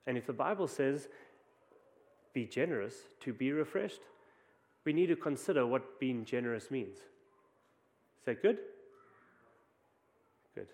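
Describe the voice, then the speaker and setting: A man's voice: medium pitch, lively intonation, unhurried, long pauses, a little mellow. A man with a South African accent, preaching a conversational sermon with frequent restarts. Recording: long hall reverb.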